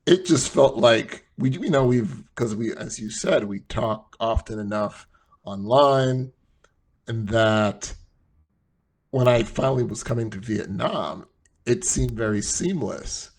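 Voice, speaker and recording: Gravelly middle-aged man chatting casually. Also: medium pitch, slow. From United States and Nigeria. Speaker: podcast co-host. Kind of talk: casual chat.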